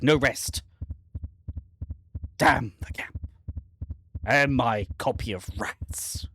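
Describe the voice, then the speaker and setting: A man voicing a haunted, guilt-ridden man lost in dark woods, reading horror game narration in a theatrical, halting way. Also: mid-range voice, slight background noise. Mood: panicked dread. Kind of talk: dramatic first-person reading of horror visual novel text.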